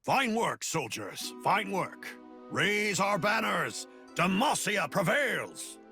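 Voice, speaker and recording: A stern, noble warrior prince, swooping delivery. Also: male voice, sits high, big pitch swings, takes it slowly, creaky, music in the background, exaggerated voice. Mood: commanding. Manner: formal, resolute, declamatory. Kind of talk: video game voice acting.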